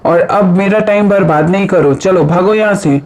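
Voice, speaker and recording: A man voicing a cruel, scornful pigeon in a cartoon moral story, with loud, taunting delivery. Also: sits high, moderate pace, rough recording. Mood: scornful, angry. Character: cruel, scornful pigeon mocking an orphan crow.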